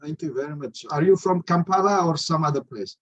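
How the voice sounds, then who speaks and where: Halting courteous man moderating a video forum. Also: fairly high pitch, dry recording, lo-fi sound. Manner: halting, many filler pauses, courteous. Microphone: video call.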